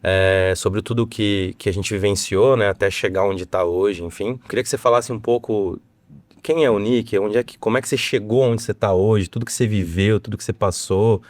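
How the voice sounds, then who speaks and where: A man's voice: on the low side, fairly even intonation, brisk, darkish tone, no echo. A man hosting a podcast, introducing and prompting his guest with enthusiastic short affirmations. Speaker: podcast host. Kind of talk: podcast interview.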